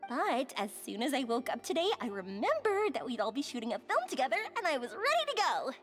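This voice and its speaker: A woman playing a bubbly, energetic young woman in a video game, exuberant and gushing as she talks. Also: high voice, fast, soft background music. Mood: cheerful, eager.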